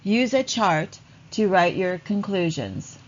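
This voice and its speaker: A woman narrating a read-along lesson for children in a deliberate, instructional way. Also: medium pitch, expressive, lo-fi sound.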